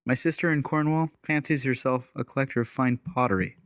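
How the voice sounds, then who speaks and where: A man's voice: mid-range voice, fairly quick, fairly bright tone, no room sound, clean studio sound, close to an everyday voice. A man playing a suave, guarded, courtly secret agent in a steampunk audiobook drama. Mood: smooth, bargaining.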